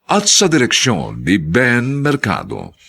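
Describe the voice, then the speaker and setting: A man announcing and narrating a radio drama from a script, theatrical and solemn with drawn-out emphasis. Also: medium pitch, wildly swooping pitch, vocal fry, sharp tone, slightly rough.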